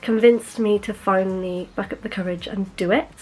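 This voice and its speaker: A woman's voice: mid-range voice, steady tone, moderate pace, darkish tone, a little hiss or hum, poor audio. A young woman, chatting to camera in a casual vlog, bubbly and rambling, correcting herself as she goes. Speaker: beauty vlogger.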